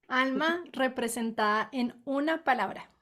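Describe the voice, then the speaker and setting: A woman interviewing a guest, keeping her questions short and adding quick, friendly prompts between the answers. Her voice sits a little higher than most. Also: a bit bright.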